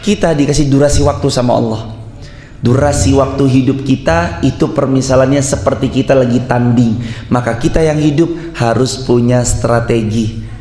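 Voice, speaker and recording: A man's voice: medium pitch, moderate pace, mellow, echoey room, slight background noise. A male preacher giving an emphatic mosque sermon, quoting Arabic and putting questions to the congregation.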